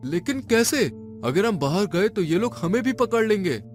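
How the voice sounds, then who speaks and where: Worried male space explorer played by a man, pitched up and anxious, story drama over music. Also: sing-song, normal speed, a little mellow. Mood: panicked.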